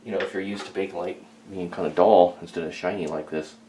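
A man's voice: low-pitched, fairly even intonation, quick pace, warm tone, slight background noise, rough recording. A man chatting casually and hesitantly as he thinks aloud during hands-on hobby work in a small home workshop. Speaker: hobbyist restorer, video host.

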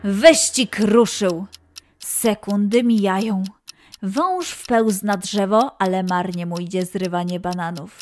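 A woman narrating a children's story in an expressive style. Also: medium pitch, takes it slowly.

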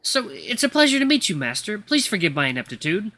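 Performer as small male retriever servant in training, storybook drama, timid and flustered, high animated voice. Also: normal speed, exaggerated voice. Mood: nervous, deferential. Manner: stammering, apologetic, timid. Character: tiny, anxious young male golden retriever butler in training.

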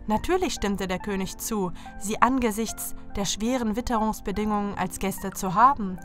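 A woman tells a scripted fairy tale to children as its narrator. Her voice sits at an average pitch. Soft music runs behind the reading. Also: normal speed.

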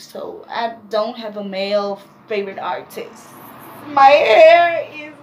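A woman in her twenties with a Namibian accent, chatting casually to viewers in a vlog with animated slangy asides. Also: a little high, noisy background. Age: 20s. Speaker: YouTuber.

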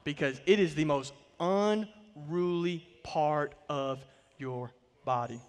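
A man in his thirties preaching a sermon from a church stage, swelling on key words and dropping to quiet line endings. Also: fairly high pitch, takes it slowly, smooth, in a large hall. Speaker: pastor. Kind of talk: sermon with scripture reading. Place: large church stage, indoors.

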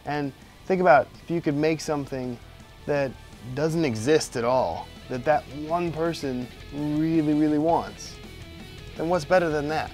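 A man in his thirties with a cold, casually showing off 3D-printed gifts in his home workshop, rambling with lots of ums. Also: on the high side. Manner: relaxed, rambling, with many ums and uhs. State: getting hoarse from a cold. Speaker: 3D printing YouTuber. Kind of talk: casual show-and-tell.

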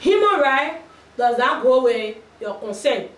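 A woman's voice: high voice, expressive, a little hiss or hum. A middle-aged woman from Liberia with a Liberian accent, speaking firmly and steadily as an advocacy spokesperson at a press conference. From Liberia, Nimba County. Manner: firm, measured, restarts phrases. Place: small office with shelves, indoors. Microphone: far camera. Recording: roomy echo from a distant camera.

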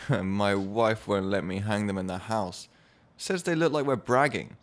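An actor playing a proud, assured husband with a deliberate manner in a radio drama. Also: male voice, lowish voice, close to an everyday voice. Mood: affable, boastful. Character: Charles, proud record producer husband with menacing streak. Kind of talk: naturalistic radio drama dialogue.

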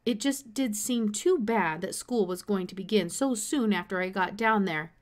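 A woman's voice: medium pitch, normal speed. Woman reading a children's novel aloud.

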